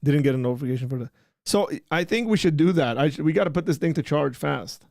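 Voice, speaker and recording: A man hosting a casual livestream, chatting loosely and often trailing off into a quiet mumble. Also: a little high, quick pace, creaky, dry recording, clean studio sound. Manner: relaxed, rambling, trailing off quietly. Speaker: livestream host.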